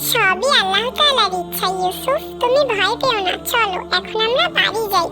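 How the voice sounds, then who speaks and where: A performer voicing a kind, pious young boy in a children's cartoon, speaking gently, politely and earnestly. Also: extremely high pitch, normal speed. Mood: gentle, grateful. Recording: children's music behind the voice.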